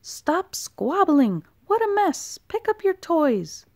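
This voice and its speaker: A woman voicing a frazzled mother toad in a storybook, loud and emphatic. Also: extremely high pitch, sing-song, slow, pitched above the performer's own voice, full character voice. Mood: exasperated. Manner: yelling, emphatic.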